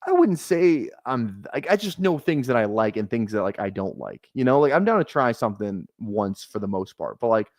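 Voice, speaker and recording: A man's voice: medium pitch, very animated, moderate pace, few pauses, dry recording, clean studio sound. Rambling young man on a livestream. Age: 20s. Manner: rambling, laid-back, swears often, laughs at himself. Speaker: fantasy football streamer. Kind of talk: casual livestream chat. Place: small apartment room.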